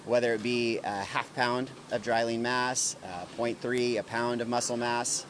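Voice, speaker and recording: A man's voice: medium pitch, steady tone, a little slow. A man speaking as a fitness coach, explaining things informally and instructively in a live interview. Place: gym, on location. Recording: faint noise of a gym.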